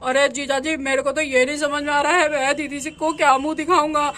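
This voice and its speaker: A woman voicing a nervous village uncle who mutters fearfully in a lowered voice. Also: level tone, brisk, a bit bright. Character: nervous village uncle, dreading his sister.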